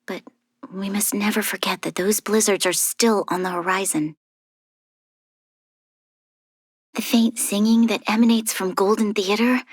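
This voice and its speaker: A woman plays a young heiress and military commander from a video game, recorded close and dry. Her mid-pitched voice is composed and earnest, softly breathy at times. She speaks formally and thoughtfully, with a strong sense of duty. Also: no room sound, close to an everyday voice. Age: young adult. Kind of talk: scripted video game character voice lines.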